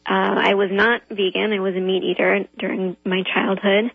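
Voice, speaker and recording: Husky-voiced woman guest on a phone line. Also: mid-range voice, flat delivery, moderate pace, no echo. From Southern California. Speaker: author and illustrator guest. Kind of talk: radio interview.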